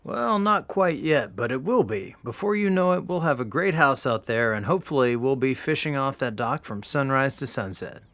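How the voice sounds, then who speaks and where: A man's voice: medium pitch, expressive, moderate pace, few pauses, a bit bright, dry recording. A man playing an earnest, fatherly middle-aged dad in an audio drama. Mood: upbeat.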